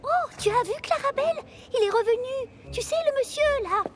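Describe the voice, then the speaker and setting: A woman's voice: extremely high pitch, lively intonation, moderate pace. A woman voicing a prim, easily alarmed railway carriage who exclaims and complains in a children's cartoon. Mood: gossipy. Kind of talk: children's cartoon dub acting.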